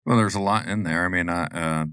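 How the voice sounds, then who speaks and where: A man's voice: low voice, steady tone, fairly quick, a bit bright, clear, resonant tone, dry recording, clean studio sound. A man hosting a business podcast, asking questions in a friendly, joking way. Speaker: podcast host. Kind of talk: casual interview chat.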